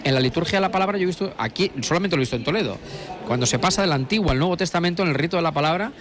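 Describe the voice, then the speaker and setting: A man's voice: fairly high pitch, lively intonation, rapid-fire, a bit bright, soft background music. A middle-aged man from La Mancha, giving discursive live commentary on a procession with many fillers and restarts. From La Mancha, Spain. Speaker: broadcast commentator. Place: outdoors at a street procession. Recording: live street broadcast with crowd noise. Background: crowd.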